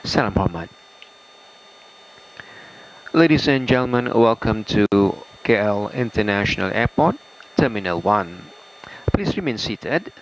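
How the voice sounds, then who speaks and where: A man with a Malaysian accent reading formal cabin crew announcements in a halting way. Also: fairly low pitch, expressive. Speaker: airline cabin crew member. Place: aircraft cabin, over the PA. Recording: faintly noisy aircraft cabin announcement audio.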